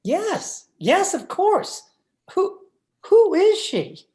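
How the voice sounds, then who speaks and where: An actor as a timid, obedient husband in an old radio play. He speaks slowly and hesitantly, with swooping pitch, always submissive and ready to placate. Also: sing-song, darkish tone, heavily acted. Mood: nervous. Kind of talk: old-time radio drama acting.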